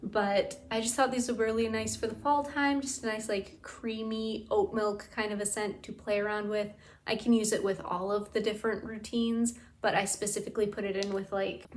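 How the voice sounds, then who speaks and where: A woman vlogging in a casual, chatty way about bath products, her voice tired and a bit raspy from talking. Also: mid-range voice, slight background noise. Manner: enthusiastic, gushing, lots of "like". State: tired, slightly raspy throat. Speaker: beauty and scent vlogger. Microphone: camera mic.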